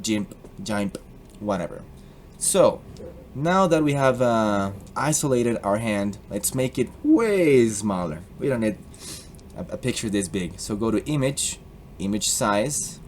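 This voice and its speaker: A young man with a Colombian accent casually explaining a software tutorial, joking and slipping into put-on accents. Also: mid-range voice, a little hiss or hum. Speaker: tutorial host.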